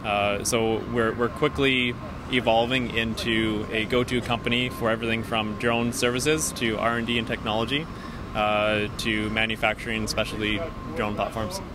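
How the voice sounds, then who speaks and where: Even-toned businessman on a noisy street. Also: fairly low pitch, normal speed. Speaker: company CEO being interviewed. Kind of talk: street interview, explanatory business talk. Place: outdoors on a busy city street. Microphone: handheld interview microphone held by interviewer. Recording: clear street and traffic noise.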